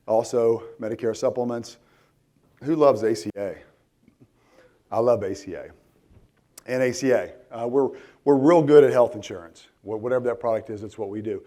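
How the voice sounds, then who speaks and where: A man in his forties with a worn-out, hoarse voice, speaking casually at a conference and filling pauses with lots of ums and restarts. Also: medium pitch, a little mellow. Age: 40s. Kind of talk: live conference talk. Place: conference hall.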